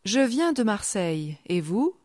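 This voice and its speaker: A woman teacher reading slow, clear repetition drills for learners, with deliberate pronunciation and pauses. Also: medium pitch, sing-song, a little mellow, no room sound, studio quality. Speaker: language teacher. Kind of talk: slow scripted language drill.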